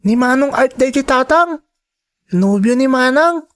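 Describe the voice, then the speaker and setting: A woman's voice: sits high, animated, measured pace. A woman playing an innocent, caring young child in a radio drama, earnest and questioning. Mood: hesitant, confiding.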